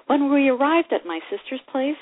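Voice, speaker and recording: A woman playing a wistful older divorcee, reading her romantic memoir aloud in an expressive, sentimental way. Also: sits high, normal speed. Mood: tender. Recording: phone-like narrow radio sound.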